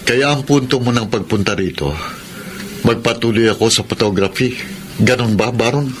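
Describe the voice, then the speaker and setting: Brooding young male inmate played by a man for radio drama, Filipino accent, earnest and emotive, middle pitch, slightly noisy broadcast. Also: moderate pace, natural voice. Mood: bitter, resigned. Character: Edison, brooding young male prisoner and gifted photographer.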